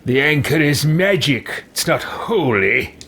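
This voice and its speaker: Man voicing arrogant nobleman adventurer, fantasy game roleplay, abrasive, pompous, drawn-out pace, faint background noise. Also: fairly high pitch, expressive, a bit bright. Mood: dismissive. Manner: slow, pompous.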